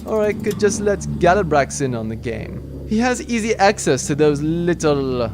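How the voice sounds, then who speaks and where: A man's voice: on the high side, big pitch swings, normal speed, background noise. A man playing a wry, scheming military officer and pilot in a sci-fi audio drama, dry and nonchalant. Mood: sly, smug.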